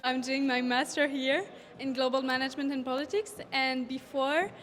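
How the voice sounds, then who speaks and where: Student interviewee: female, twenties, French accent, high-pitched voice, informal delivery. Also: steady tone, normal speed, fairly bright tone. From France. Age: 20s.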